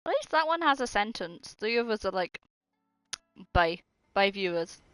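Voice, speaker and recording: A woman's voice: mid-range voice, sing-song, normal speed, fairly bright tone, low-quality recording. A woman giving casual live gameplay commentary as a streamer, playful and sweary, often talking to herself. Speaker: video game streamer. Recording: game music behind the voice.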